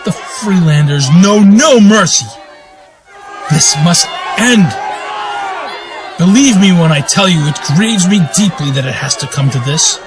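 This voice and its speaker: A man's voice: sits high, expressive, unhurried, over music, crowd noise, poor audio. A man playing a proud, vengeful duke who claims a throne in a fantasy radio drama, declaiming in righteous, grandiose oratory. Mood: grave, indignant. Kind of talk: radio drama monologue in a fantasy audio play.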